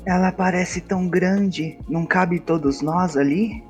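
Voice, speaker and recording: A man's voice: sits high, a little slow, a little mellow. Man playing a small, naive, sweet adventurer. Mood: innocent. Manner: innocent, earnest, polite. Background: background music.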